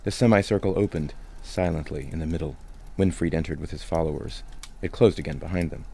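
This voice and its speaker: A male narrator reads a literary tale in a deep, dark-toned voice. There is a noticeable creak in it. Soft music sits underneath. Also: a little fast, slight background noise. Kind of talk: scripted storybook narration.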